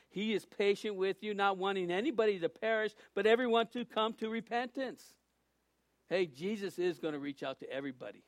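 Folksy older preacher, high voice. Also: male voice, no room sound. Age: 60s. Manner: folksy, rambling, with jokes and restarts. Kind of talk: informal sermon.

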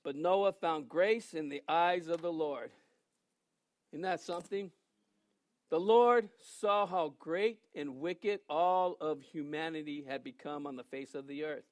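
A man in his sixties preaching a sermon in a joking, conversational way, reading scripture aloud slowly between asides. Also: on the high side, no echo. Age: 60s. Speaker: pastor.

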